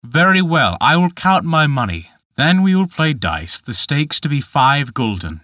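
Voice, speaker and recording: A man reading aloud voices a big, hearty male gambler who talks loudly and bluffly. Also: a little high, very animated, measured pace, dry recording, clean studio sound, full character voice.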